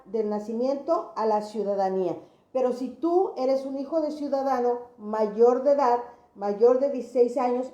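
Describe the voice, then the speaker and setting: A woman speaks to viewers as an informal, didactic host. Her voice is fairly high yet soft-edged and dark, and she restates key phrases to drive them home. The recording is clearly roomy and echoey. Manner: didactic, repeats phrases for emphasis. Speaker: host of an immigration advice channel. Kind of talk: informal explainer talk to viewers. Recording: echoey room, dull lo-fi sound.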